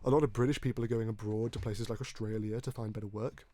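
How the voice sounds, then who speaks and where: A man from Hong Kong hosting a conversational political discussion, speaking earnestly in long, halting stretches with repeated words. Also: fairly low pitch, steady tone, mellow. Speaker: podcast host.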